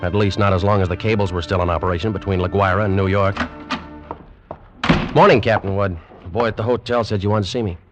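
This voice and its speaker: Guy playing a determined explorer, really low voice, dramatic narrating, music behind, muffled old radio. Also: fairly even intonation, lightly acted. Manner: brisk, dramatic first-person narration. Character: determined male animal collector narrating. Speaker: narrator and lead. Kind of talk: 1940s radio acting.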